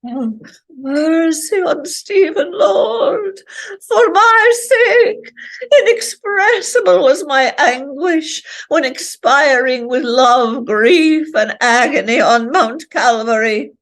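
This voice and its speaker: A grieving, dying mother wails her prayers in a high, tear-choked voice. A woman reading aloud puts her on. The delivery is slow and pleading, and it quavers as it rises. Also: fairly bright tone, full character voice. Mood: tearful pleading. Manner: high, tearful wailing prayer.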